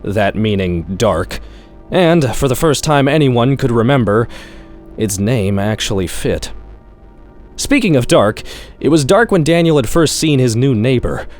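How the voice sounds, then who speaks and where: A man's voice: medium pitch, lively intonation, measured pace, a little mellow, soft background music. A man reading a scripted short story in a wry, sardonic storytelling manner, like a horror-comedy audiobook. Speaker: author-narrator reading own short story.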